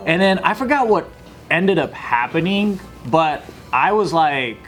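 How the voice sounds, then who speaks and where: A man in his thirties tells a loose, rambling story to friends on a podcast. His pitch sits a little high and swings freely. He talks in a laid-back way, full of "like" and "you know". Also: animated, unhurried, a bit bright, faint music behind. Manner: laid-back storytelling, laughs often, many fillers. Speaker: podcast co-host. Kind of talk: casual group chat.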